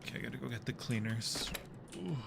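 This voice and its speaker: A man with a mid-pitched voice hosts a casual game stream. He is playful and jumpy, slipping between chatting to viewers and muttering to himself. There is clear noise behind his voice. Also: brisk. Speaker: live stream host.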